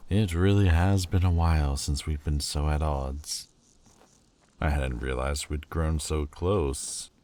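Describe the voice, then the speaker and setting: A man's voice: very deep voice, darkish tone. A man voicing a proud, prickly male Lord of Demons in a fantasy audio roleplay, haughty and sardonic with a grudging tenderness. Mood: wistful. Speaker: voice actor.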